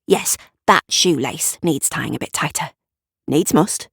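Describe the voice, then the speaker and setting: Woman reading a story, lowish voice, really takes her time, wry and conspiratorial, dry sound. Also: a little slow, creaky, a bit bright, breathy edge, no room sound. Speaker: writer and narrator. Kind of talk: dramatic scripted storytelling.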